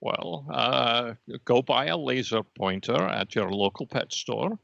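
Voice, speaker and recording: A patient, solemn being of pure energy acted by a man speaks with measured gravity in a comic podcast. The voice is creaky and forceful, recorded very dry and a little dull. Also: mid-range voice, lively intonation, no echo, heavily acted. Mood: matter-of-fact. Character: patient, cryptic collective red laser dot, energy being. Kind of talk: improvised comic character interview.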